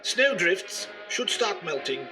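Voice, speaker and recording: Man doing a radio weather announcer, kinda high voice, very up and down delivery, music under it, earnest. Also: big pitch swings, slow pace, exaggerated voice. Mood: brisk, earnest.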